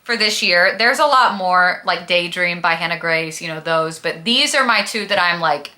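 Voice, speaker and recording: A woman's voice: on the low side, normal speed, bright, forceful tone. A woman talking casually as a book vlogger, with a gushing, emphatic, dramatic and slangy manner. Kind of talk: casual chatty book review vlog.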